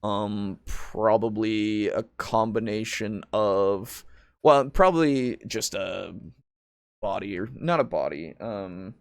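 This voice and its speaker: A man hosting a casual podcast chat about tabletop roleplaying, explaining things in a rambling, halting way with some swearing. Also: on the low side, vocal fry, clear, resonant tone, no room sound, studio quality. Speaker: podcast host.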